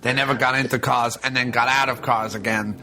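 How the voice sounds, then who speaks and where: A man's voice: mid-range voice, fairly even intonation, a little fast, sharp tone, poor audio. A man co-hosting a podcast movie commentary, joking and riffing on the film, often slipping into mock quotes of characters. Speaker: podcast co-host. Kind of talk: casual movie commentary with jokey put-on voices.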